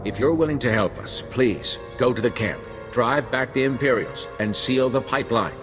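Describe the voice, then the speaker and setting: A man's voice: medium pitch, music in the background, lightly acted. Formal army general played by a man, reverberant. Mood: courteous, commanding. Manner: formal, measured. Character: courteous, firm male army general.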